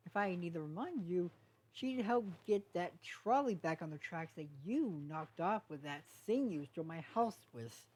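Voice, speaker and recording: A woman's voice: fairly low pitch, expressive, moderate pace, darkish tone, rough recording. A woman voicing a friendly, loyal young female pony in a fan story, earnest and chatty. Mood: defensive.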